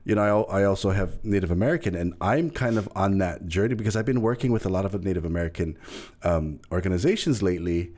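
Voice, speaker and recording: Low-voiced interview host. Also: male voice, fast. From Hawaii. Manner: animated, frequent affirmations, rambling questions. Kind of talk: casual interview conversation.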